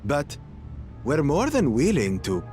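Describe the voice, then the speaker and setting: An actor playing a menacing, mocking brute villain in a video game, taunting with drawn-out cruel relish. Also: male voice, a little high, wildly swooping pitch, darkish tone, soft background music, heavily acted. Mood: gloating menace.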